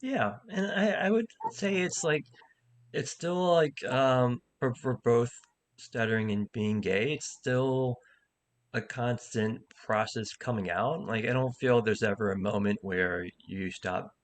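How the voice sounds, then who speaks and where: A man with a stutter speaks in a fairly low voice, talking candidly and thoughtfully. His sentences halt and restart often, with repeated words, in a relaxed casual chat. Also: a little slow. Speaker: comic and improv teacher. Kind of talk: casual conversation.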